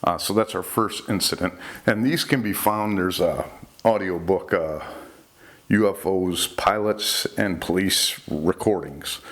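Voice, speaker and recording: Low-pitched man telling stories informally. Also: a little slow, fairly bright tone. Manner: conversational, relays dialogue in reported speech. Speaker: host recounting UFO reports. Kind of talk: informal storytelling, retelling recorded incidents.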